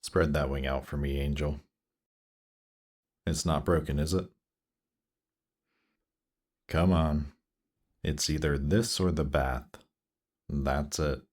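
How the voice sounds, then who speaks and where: A man playing a suave, patient, seductive demon prince in a fantasy roleplay audio drama, speaking in a teasing, coaxing, measured way. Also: rumbling low, steady tone, darkish tone, full character voice. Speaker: voice actor. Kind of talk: slow, intimate roleplay audio drama performance.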